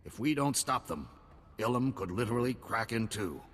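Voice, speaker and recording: A man's voice: expressive, moderate pace, close to an everyday voice. A man playing a grim field commander, echoing. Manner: clipped, commanding. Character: grim male field commander under siege. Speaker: military commander. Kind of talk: video game cutscene acting. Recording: long reverb, faint rumble.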